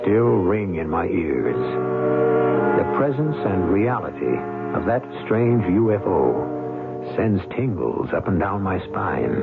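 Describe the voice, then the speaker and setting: Theatrical male radio host, lively low voice. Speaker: host and narrator. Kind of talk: dramatic old-time radio scripted narration. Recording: narrow-band old radio recording. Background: music.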